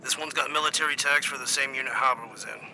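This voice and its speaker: A man plays a terse police captain in an audio drama. His voice is gravelly and forceful, gruff and urgent throughout. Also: mid-range voice, fast, a little hiss or hum, low-quality recording.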